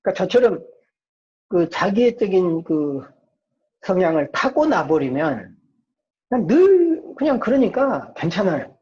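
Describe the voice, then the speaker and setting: A man's voice: high voice, sing-song, measured pace, darkish tone. A man lecturing informally as a psychology professor, often asking questions and answering them himself. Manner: asks and answers own rhetorical questions. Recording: dull, muffled lo-fi lecture recording.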